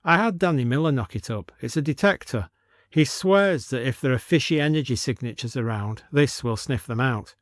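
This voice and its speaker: A man's voice: mid-range voice, animated, normal speed, no echo, clean studio sound, natural voice. A man playing an earnest village doctor with a confident, persuasive, conversational manner in an audio story. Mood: urgent.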